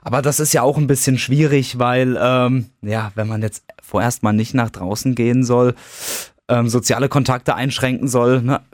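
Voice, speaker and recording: Male podcast host, middle pitch, casual and hesitant, dry close mic. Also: normal speed, dry recording. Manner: relaxed, hesitant questions with fillers. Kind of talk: casual podcast chat and interview.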